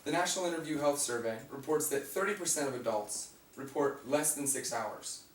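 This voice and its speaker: Raspy young man, student speech, picked up from far off in an echoey room with faint hum. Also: on the high side, animated, brisk. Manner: reads from prepared notes with fillers and restarts. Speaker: student giving a class speech. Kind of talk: informative class speech. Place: classroom.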